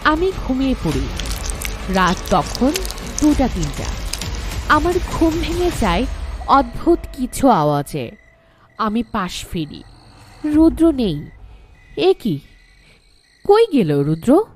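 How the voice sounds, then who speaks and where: A woman voicing a young wife who tells her own horror story in the first person, in a slow, tense manner, for an animated ghost story. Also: a little high, over music.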